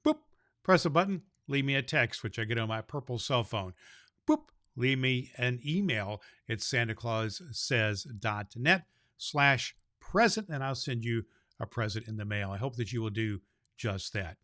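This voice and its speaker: A man's voice: mid-range voice, animated, unhurried, a bit bright, dry recording, studio quality. A man playing a kindly, jolly old Santa Claus, hosting a children's show in a folksy, effusive way. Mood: jolly, affectionate. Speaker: host.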